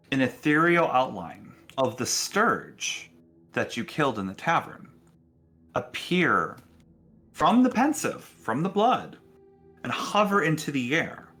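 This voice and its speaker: A man narrating a tabletop game as game master in a deliberate, suspenseful, dramatic style. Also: mid-range voice, sharp tone.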